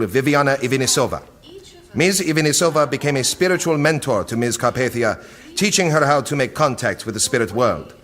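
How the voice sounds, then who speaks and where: A man's voice: medium pitch, a bit bright, lightly acted. Clinical male director briefing, played by an actor. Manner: clinical, precise, deliberate. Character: cold, efficient male project director briefing a secret board. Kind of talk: audio drama acting.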